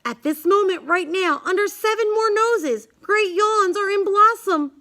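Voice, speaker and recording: A woman reading a rhyming children's picture book aloud in a measured, singsong way. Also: very high-pitched, sharp tone. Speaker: storybook reader.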